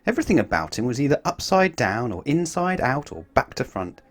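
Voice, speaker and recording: Animated man reading a children's storybook. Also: mid-range voice, fairly quick. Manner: expressive, addresses young listeners with questions.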